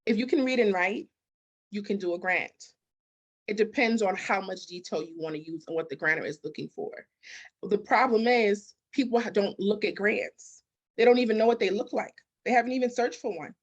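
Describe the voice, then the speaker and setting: A woman's voice: mid-range voice, a little fast, lo-fi sound. A woman speaking as a guest expert in a conversational interview, emphatic, firing off rhetorical questions and acting out bits of dialogue. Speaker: interview guest, business consultant and grant expert.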